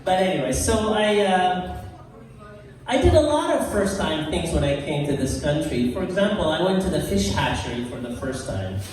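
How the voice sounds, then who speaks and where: A man's voice: high voice, sing-song, a little mellow. An older man from Iraq with an Iraqi accent, performing a self-deprecating stand-up routine in a bar and chuckling through his punchlines. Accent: Iraqi Arabic. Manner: self-deprecating, unhurried punchlines with laughing asides. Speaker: stand-up comedian. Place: bar stage. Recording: echoey bar from far off.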